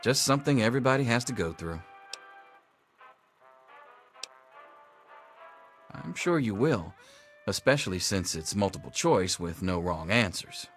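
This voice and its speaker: An actor playing a dry, sarcastic schoolteacher running an exam in a video game. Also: male voice, fairly low pitch, animated, fairly quick, faint music behind. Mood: welcoming, encouraging. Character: sardonic male vault schoolteacher giving an exam. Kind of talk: scripted video game character dialogue.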